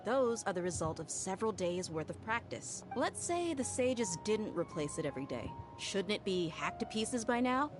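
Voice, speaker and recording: A woman's voice: medium pitch, sing-song, normal speed, hardly any pauses, music in the background, natural voice. A woman voicing a confident, matter-of-fact female fighter in a video game. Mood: assured. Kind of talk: game dialogue.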